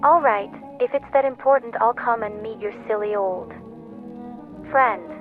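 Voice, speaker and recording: A woman plays a glamorous, petulant socialite in a radio drama. Her flippant voice is fairly high and drawn out, heard through a narrow, telephone-like band. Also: measured pace, natural voice. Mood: annoyed, flippant. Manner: flippant, annoyed. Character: glamorous, quick-witted, petulant young socialite daughter. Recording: tinny phone-like radio sound, music behind.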